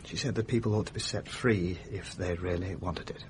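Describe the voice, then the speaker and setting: A man acting as a troubled gentleman in a radio play, with a fairly low voice. He speaks politely but hesitantly, with feeling, over a faint rumble. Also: brisk, lightly acted. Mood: troubled recounting. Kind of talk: radio mystery drama acting.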